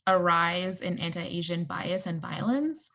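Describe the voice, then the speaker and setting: Woman guest, fairly low voice with fry, measured and explanatory. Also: lively intonation, fairly bright tone. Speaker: panel guest, communications director. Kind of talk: casual panel talk.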